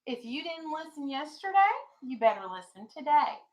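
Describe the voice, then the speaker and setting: A woman's voice: high voice, animated, moderate pace, a little mellow. A woman elementary school teacher leading a classroom reading lesson and read-aloud, asking questions and instructing firmly. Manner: instructional, questioning, firm with pupils.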